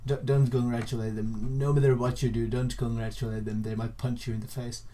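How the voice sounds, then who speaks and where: A young man from Finland with a Finnish accent, a native Finnish speaker, explaining things casually in a halting way, repeating and rephrasing himself. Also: mid-range voice, level tone, warm tone, slight background noise. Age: young adult. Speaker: vlogger. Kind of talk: casual informal explainer to camera.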